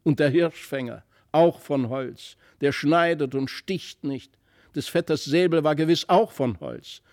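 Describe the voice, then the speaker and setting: A man's voice: on the high side, lively intonation, a little slow, darkish tone, dry recording, clean studio sound. A man voicing a headstrong, scornful young boy with an emphatic delivery, in a dramatic storybook reading. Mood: indignant.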